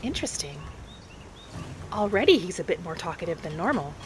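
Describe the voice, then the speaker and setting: A woman narrating a game roleplay in character as a gentle, hopeful young elf adventurer, softly musing aloud. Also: lowish voice, sing-song, fairly quick, background noise. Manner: soft, musing, speaking thoughts aloud. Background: music.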